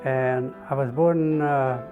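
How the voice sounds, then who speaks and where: A man in his eighties from Eastern Europe recounts his memories, pausing with fillers and repeating himself. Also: mid-range voice, fairly even intonation, faint music behind. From Poland and Czechoslovakia. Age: 80s. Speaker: Holocaust survivor, interviewee. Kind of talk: testimony interview. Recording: tinny phone-like sound.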